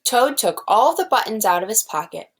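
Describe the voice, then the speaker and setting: A woman's voice: on the high side, very animated, normal speed. A woman teacher explaining things simply to young children and reading a storybook aloud.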